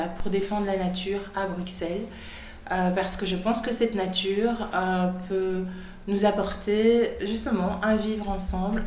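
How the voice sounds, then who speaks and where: A woman's voice: fairly low pitch, a little mellow, echoey room, a little hiss or hum. A woman, a political candidate, speaking earnestly and with commitment, with frequent fillers. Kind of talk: spontaneous speech. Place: television studio in Brussels.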